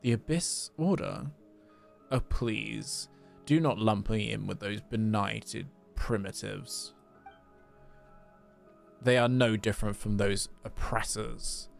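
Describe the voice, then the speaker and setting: A man's voice: fairly low pitch, takes it slowly, creaky, pauses often, faint music behind. A man voicing an aloof, scornful game villain with haughty, formal, eloquent phrasing. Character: aloof, scornful male villain seeking liberation.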